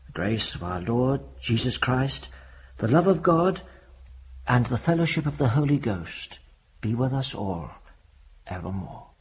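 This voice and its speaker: Earnest reverend for radio drama: very breathy-rough voice, slow, expressive intonation, grave and compassionate. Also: male voice, medium pitch, lo-fi sound, heavily acted. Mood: solemn, comforting. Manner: solemn, deliberate, priestly.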